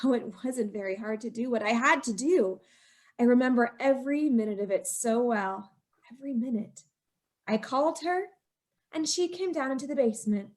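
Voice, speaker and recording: A woman's voice: fairly high pitch, normal speed, darkish tone, natural voice. A woman playing a scheming, guilt-ridden young wife who confesses to murder in feverish, insistent old-time radio style. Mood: cold recollection. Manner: feverish, melodramatic, insistent repetition.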